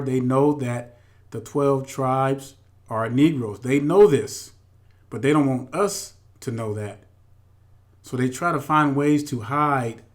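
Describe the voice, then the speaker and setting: Guy hosting religious commentary, emphatic, repeats himself, talks right at his followers, mid pitch. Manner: emphatic, repetitive, addresses followers directly. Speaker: host.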